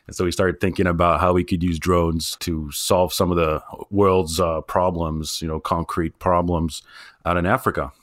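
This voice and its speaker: A man's voice: low-pitched, flat delivery, moderate pace, fairly bright tone, no echo, studio quality. A man who co-founded a drone startup, talking loosely about his work with frequent "uh"s and restarts. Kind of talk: casual interview talk.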